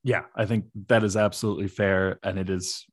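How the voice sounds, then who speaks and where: A man with a low voice, co-hosting a podcast and chatting earnestly about musical theater. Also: little pitch movement, brisk, slightly rough, no room sound, studio quality. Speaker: podcast co-host.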